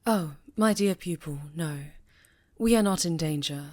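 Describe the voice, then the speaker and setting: A woman playing a stern, commanding witch who leads a coven, speaking formally and with measured authority in an ASMR fantasy roleplay. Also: fairly low pitch, darkish tone, lightly acted. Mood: firm. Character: stern, commanding female high enchantress leading a witch coven.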